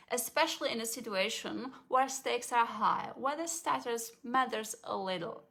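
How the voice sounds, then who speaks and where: A woman with a voice a touch higher than most talks in a calm, measured way. She walks through each point like a coach delivering a scripted explainer. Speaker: language confidence coach.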